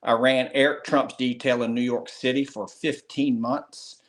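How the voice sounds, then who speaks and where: A man in his fifties speaks with a North Carolina Southern drawl, at a middling pitch. He is plainspoken and easygoing as an interview guest, and his sentences trail through fillers and restarts. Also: steady tone, unhurried. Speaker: guest, retired Secret Service agent. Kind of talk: relaxed interview storytelling.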